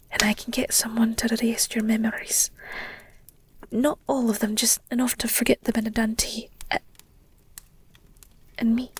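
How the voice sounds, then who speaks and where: A breathy, whispering werewolf girlfriend, played by a woman, high in pitch and slow to get her words out. She is earnest, tender and protective, with guilt in her hesitant delivery. It is an intimate audio roleplay. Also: full character voice. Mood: grave, earnest.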